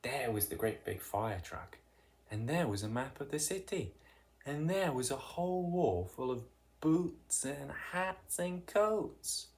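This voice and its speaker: A narrator reading a children's picture book aloud in an expressive, deliberate way with dramatic emphasis. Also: mid-range voice. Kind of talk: read-aloud children's storytelling.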